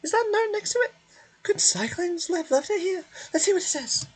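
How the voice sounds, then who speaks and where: A woman voicing a small, excitable, childlike female fairy companion in a video game. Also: extremely high pitch, normal speed, pitched above the performer's own voice. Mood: uneasy surprise. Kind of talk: video game character dub by a streamer.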